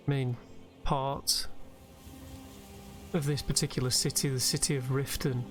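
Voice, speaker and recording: A man narrating a guided meditation over gameplay in a gentle, unhurried, soothing way with pauses. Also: mid-range voice. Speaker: guided relaxation host and gamer. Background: soft background music from a video game.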